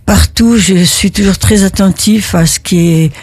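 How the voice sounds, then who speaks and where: An older retired woman from Italy, answering interview questions in a halting way, full of restarts. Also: mid-range voice, a little mellow, husky, dry recording. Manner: halting, with many restarts and fillers. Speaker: interviewee, neighborhood volunteer.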